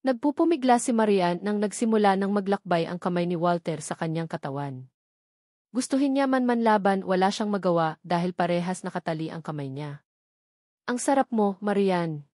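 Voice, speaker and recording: A woman's voice: fairly low pitch, lively intonation, brisk, darkish tone, no room sound, studio quality. A woman narrating a dramatic novel from a script as an audiobook reader.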